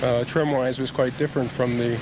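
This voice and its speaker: A middle-aged man from Wisconsin being interviewed about his car outdoors, measured, precise and matter-of-fact. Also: medium pitch, little pitch movement. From Wisconsin, United States. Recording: noisy outdoor on-location recording.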